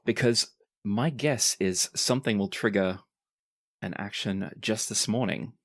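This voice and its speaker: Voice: sits low, measured pace, no echo, clean studio sound. A game master explaining tabletop game rules and narrating scenes to players.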